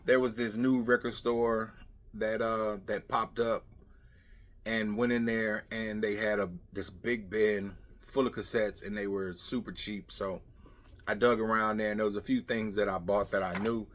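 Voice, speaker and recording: Male vlog host, middle-aged, fairly low pitch, off-the-cuff muttered asides, noticeably noisy recording with clatter. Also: level tone, unhurried, a bit bright. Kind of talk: casual rambling vlog chat. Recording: clear background noise with clicking, clattering.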